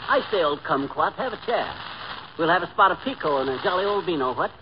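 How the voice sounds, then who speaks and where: A man playing an excitable, boastful husband with slangy, folksy talk and pompous airs in an old-time radio sitcom. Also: on the high side, brisk, lightly acted. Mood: pompous mock-British. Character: excitable, corny, boastful husband with big ideas. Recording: muffled, noisy old radio recording.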